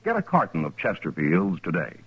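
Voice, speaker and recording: A man's voice: low voice, wildly swooping pitch, a little fast, loud music behind the voice. A man announcing a 1950s radio cigarette commercial with a polished, emphatic sales delivery. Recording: dull, muffled old radio recording.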